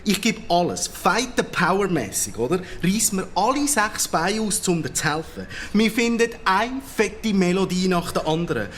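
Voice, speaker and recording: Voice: mid-range voice, lively intonation, normal speed, hardly any pauses, a bit bright, slight background noise, close to an everyday voice. A performer voicing a cocky, boastful city cockroach in a children's radio play, talking swaggering hip-hop slang with a Swiss German accent. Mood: boastful, exasperated. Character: cocky streetwise city cockroach, slangy braggart, easily riled.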